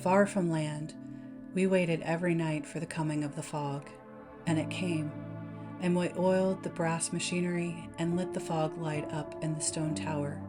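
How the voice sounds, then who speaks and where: A woman reading a dark bedtime story aloud as a narrator. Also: deep voice, fairly even intonation, normal speed. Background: background music.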